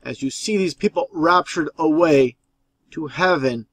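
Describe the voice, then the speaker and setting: Man, slightly higher voice, dry sound, video commentary host, insistent, repetitive explaining. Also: a little slow, dry recording. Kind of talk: conspiracy commentary over video clips.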